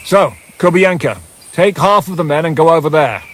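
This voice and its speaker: Gruff, bossy band leader. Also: male voice, on the high side, lively intonation, normal speed. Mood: commanding. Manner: sardonic, commanding. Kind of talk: video game character acting.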